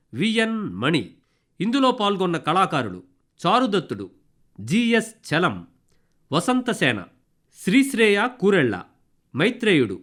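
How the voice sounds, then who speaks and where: A man's voice: high-pitched, a bit bright. A man reading formal scripted credits as a radio announcer.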